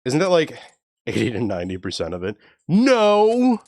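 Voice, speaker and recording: A young man with a low, even voice, chatting casually and swearing freely with his live stream chat. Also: moderate pace, dry recording, studio quality. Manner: laid-back, profane, joking with chat.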